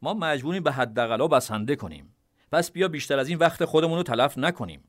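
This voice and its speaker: A man reading an audiobook memoir, voicing a firm, decisive male military commander who speaks in a measured, insistent way. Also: medium pitch, animated, pitched above the performer's own voice, natural voice.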